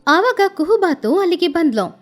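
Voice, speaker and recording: A woman's voice: extremely high pitch, sing-song, normal speed, faint music behind. A woman narrating a scripted children's story as the storyteller.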